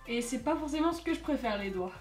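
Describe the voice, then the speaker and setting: A woman's voice: high-pitched, expressive, quick pace, soft background music. Teen girl w a stuffy cold nose, rambly makeup chat, echoey room. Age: teens. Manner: chatty, rambling with many fillers. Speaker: beauty vlogger. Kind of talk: casual makeup tutorial chat. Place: home.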